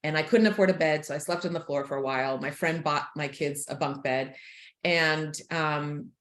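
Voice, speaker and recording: A woman in her forties from Croatia, telling her life story frankly as a podcast guest, laughing easily and going quiet on painful parts. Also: sits low, moderate pace. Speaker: guest, author and speaker. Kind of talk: candid personal storytelling. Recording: roomy echo.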